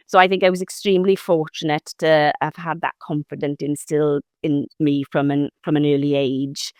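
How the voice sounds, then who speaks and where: A woman's voice: deep voice, dry recording, studio quality. A Welsh woman in her thirties, a former diplomat, talking thoughtfully in a podcast interview with many fillers and restarts. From South Wales.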